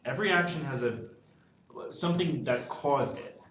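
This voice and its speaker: Man as idealistic high school boy, sincere, halting, drama table read, echoey dull recording. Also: a bit bright, lightly acted. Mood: candid, hesitant. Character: earnest, stressed, idealistic male high school senior. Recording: echoey, dull, faintly noisy room recording.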